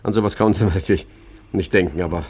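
An actor in a German radio play playing an urbane, evasive art forger who talks hesitantly with polite irony. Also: male voice, sits low, fairly even intonation, fast talker, darkish tone, slightly rough. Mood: flustered, wry. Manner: hesitant, stammering, politely ironic.

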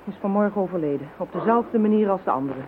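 An actress playing a brisk young female police inspector in a radio drama. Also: mid-range voice, expressive, phone-quality sound, background noise, close to an everyday voice. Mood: tense.

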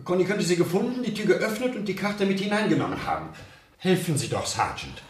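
A man's voice: sits high, big pitch swings, fairly quick, noisy background. An actor playing a distraught husband and father in a 1970s German radio crime drama, questioning with pleading urgency. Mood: urgent, fearful.

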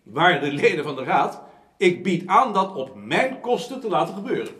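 Lively, high-voiced gentleman orator in echoey room. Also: moderate pace. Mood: earnest, oratorical. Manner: formal, emphatic, oratorical. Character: eloquent gentleman councillor defending art, scornful of his peers. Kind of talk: period radio drama acting. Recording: roomy, echoing studio sound.

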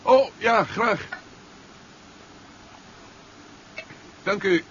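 An actor playing a matter-of-fact diver on a dangerous undersea mission in a 1970s Dutch sci-fi radio play. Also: male voice, high-pitched, slow, fairly bright tone. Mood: dazed. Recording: dull, hissy 1970s radio recording.

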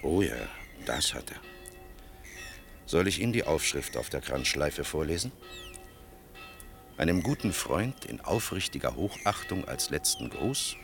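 An actor playing a grave, world-weary older male official in a German radio play, speaking with measured, bitter irony. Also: extremely low pitch. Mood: solemn irony. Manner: measured, sardonic, rhetorical.

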